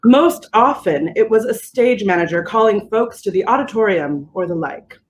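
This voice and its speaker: A woman's voice: medium pitch, lively intonation, moderate pace. A woman reading a first-person story aloud as scripted narration. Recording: faint background noise.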